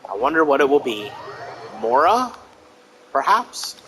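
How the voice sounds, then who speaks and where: A man's voice: on the high side, very animated, measured pace, a bit bright, slight background noise, poor audio. A man playing a video game and commenting casually as he goes. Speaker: let's play gamer.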